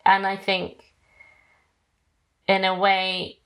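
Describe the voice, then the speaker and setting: A British woman hosting a conversational interview, gentle and affirming, with frequent soft murmurs of agreement. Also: medium pitch, completely flat delivery, drawn-out words. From Britain. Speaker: podcast host.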